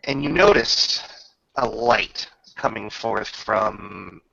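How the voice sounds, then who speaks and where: A man working as game master, narrating a tabletop roleplay and talking rules casually in a halting way, pausing mid-sentence. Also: lowish voice, vocal fry, sharp tone, dry recording, highs cut off.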